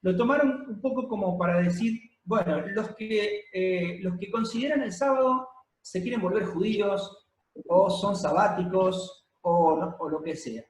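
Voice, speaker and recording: Guy preaching, kinda high voice, lots of ehs and pauses, echoey church room. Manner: explanatory teaching, frequent pauses and fillers. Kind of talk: sermon. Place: church hall, congregation present and streamed. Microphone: handheld or stand microphone.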